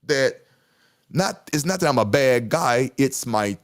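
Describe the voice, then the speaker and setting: A middle-aged man with a deep voice hosting a podcast, talking casually with passion and emphatic repetition. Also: lively intonation, measured pace. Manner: passionate, emphatic, repeats himself. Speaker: podcast host. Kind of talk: casual monologue.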